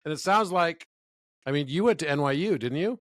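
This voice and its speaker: A man's voice: on the high side, animated, fairly quick, dry recording, clean studio sound. A man in his fifties, a guest in a conversational interview, telling stories with enthusiasm. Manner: enthusiastic, storytelling.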